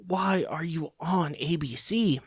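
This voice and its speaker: A man's voice: fairly high pitch, slow pace, breathy edge, no echo, studio quality. A man hosting a podcast, ranting in a profane, rambling, sarcastic monologue. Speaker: podcast host.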